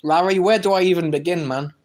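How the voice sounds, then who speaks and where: A man's voice: high-pitched, fairly even intonation, fast, a little mellow. An English man hosting a live stream, reading chat aloud and trading brash, teasing banter with viewers. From England. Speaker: livestream host reading chat.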